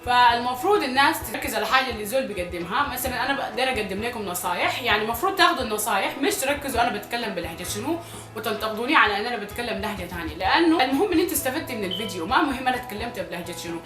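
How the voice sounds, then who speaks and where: A young Sudanese woman vlogging casually to her viewers, speaking with a Sudanese Arabic accent with some Egyptian traces and a slightly hoarse voice, often repeating phrases for emphasis. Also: fairly high pitch, expressive, racing, reverberant, over music. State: slightly hoarse from recent illness. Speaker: YouTuber. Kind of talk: casual vlog talk to viewers.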